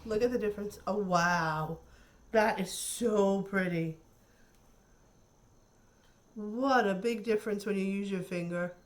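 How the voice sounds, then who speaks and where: A woman's voice: medium pitch, slow, pauses often. A woman chatting casually through a makeup tutorial, thinking aloud and admiring the colors, her voice a little nasal from a cold. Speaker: beauty vlogger.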